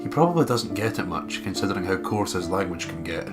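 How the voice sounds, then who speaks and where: A man's voice: low-pitched, expressive, quick pace, music in the background. A man with a blocked nose co-hosting a let's play, chatting and reading aloud in a dry, sweary way. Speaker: co-host of a gaming let's play. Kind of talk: casual chat and reading aloud while playing.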